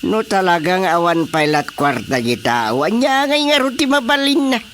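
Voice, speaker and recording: A man acting in an Ilocano radio comedy-drama as an expressive, opinionated villager reacting to gossip, with an Ilocano Filipino accent. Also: high voice, moderate pace, a little hiss or hum, full character voice. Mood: surprised, sympathetic.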